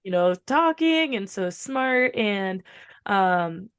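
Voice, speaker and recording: A woman with a slightly hoarse, recovering voice, chatting casually and informally as a podcast co-host, with some swearing. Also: mid-range voice, animated, takes it slowly. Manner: rambling, informal, frequent swearing. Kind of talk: casual chat.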